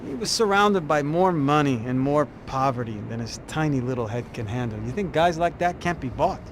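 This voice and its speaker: A sly, cynical police detective talks in a sardonic, lecturing way. His voice sits a bit high. Loud street traffic runs behind him in this game cutscene. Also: animated, moderate pace. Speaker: police officer.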